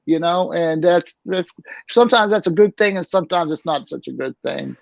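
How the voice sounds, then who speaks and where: A fairly high-voiced middle-aged man from North Carolina speaks haltingly, circling back and restarting his sentences. His voice comes through a dull, lo-fi video call in a dry, dead-sounding space. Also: vocal fry, no room sound. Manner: halting, restarts often, many "you know"s. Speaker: guest, transplant recipient. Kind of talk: casual video call interview.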